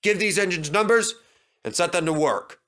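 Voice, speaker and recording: A man's voice: sits high, sharp tone, voice pushed up. A man voicing a stern, authoritative railway controller in a children's story, speaking in a commanding way. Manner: commanding, deliberate.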